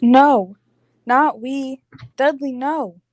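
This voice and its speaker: A woman playing a timid young woman of a childlike people in a radio play, speaking halting broken English in very short phrases. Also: high-pitched, no room sound. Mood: frightened, insistent. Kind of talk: 1940s radio play acting.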